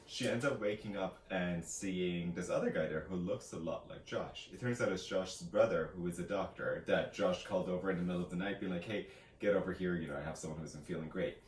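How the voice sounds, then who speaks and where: A man's voice: deep voice, a little fast. A man chatting casually as a vlog co-host, retelling a story in a rambling, hesitant way. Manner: rambling, hesitant, quoting characters in casual asides. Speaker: co-host of a book discussion vlog. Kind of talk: casual chat, retelling a novel's plot. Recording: echoey room, faint background noise.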